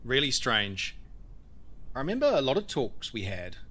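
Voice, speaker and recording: Private production designer, male actor, bright forceful tone, middle pitch, laconic and needling, audio drama. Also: huge pitch swings, normal speed, full character voice. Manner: laconic, blunt, says "mate".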